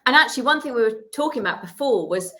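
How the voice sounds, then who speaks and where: A woman's voice: fairly high pitch, expressive, fast talker, pushed and bright, slight background noise. A woman hosting a casual video chat interview, encouraging her guest and asking questions. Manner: encouraging, asks questions, laughs readily. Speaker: host. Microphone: video call.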